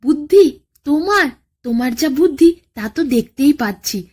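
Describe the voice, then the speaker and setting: A crafty little jackal from a bedtime folk tale, played by a woman storyteller. The voice is high and very lively, coaxing and persuasive like a born schemer. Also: normal speed. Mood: sly coaxing. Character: sly, quick-witted male jackal nephew, scheming trickster.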